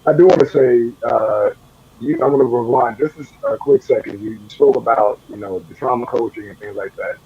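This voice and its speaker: Raspy, low-voiced young man, muffled audio. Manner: earnest, halting, repeats words. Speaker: co-host, treasurer of the nonprofit. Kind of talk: casual chat.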